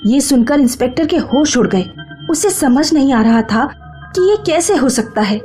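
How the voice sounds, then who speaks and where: A woman's voice: high voice, animated, moderate pace, mellow. A woman narrating a scripted horror story in a dramatic style. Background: background music.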